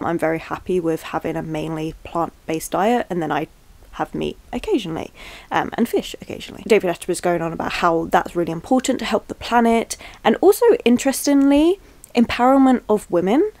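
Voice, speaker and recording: A woman's voice: medium pitch, dark tone. A young woman talking casually to camera in a reading vlog, chatty and upbeat with rambling asides, at home among her bookshelves. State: stuffy from a cold. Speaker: book vlogger. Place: small bedroom with bookshelves, indoors.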